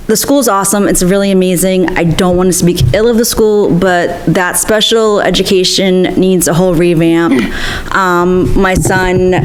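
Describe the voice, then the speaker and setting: Earnest young mother, slightly low voice. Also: slight background noise, poor audio. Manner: earnest, plain-spoken, frequent ums. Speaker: school committee candidate. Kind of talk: candidate forum answer.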